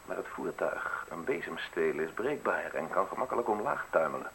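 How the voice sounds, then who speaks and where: An actor playing a guarded middle-aged public prosecutor in a radio drama, formal and measured in his speech. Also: low voice, lively intonation, vocal fry, a bit bright, exaggerated voice. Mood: poetic, declaiming. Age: 40s. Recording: noisy old radio play recording.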